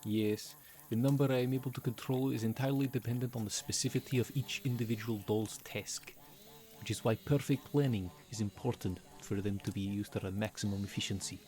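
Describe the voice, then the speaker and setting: A man's voice: on the low side, steady tone, fairly quick, darkish tone, lightly acted. A man playing a stern, pedantic half-vampire professor with an Albanian accent and a formal, exasperated manner. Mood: matter-of-fact. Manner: formal, pedantic, article-dropping speech.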